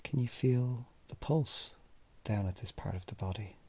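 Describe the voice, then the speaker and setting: A man leading a guided body scan meditation in gently instructing, unhurried phrases with long pauses, his husky, breathy voice often trailing off into a near-whisper. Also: mid-range voice. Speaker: mindfulness meditation teacher.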